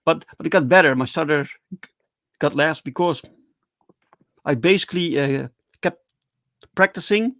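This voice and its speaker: A man's voice: on the high side, moderate pace, pauses often, fairly bright tone, dry recording. A man hosting a casual interview show, speaking with a slight stutter and frequent restarts. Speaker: podcast host.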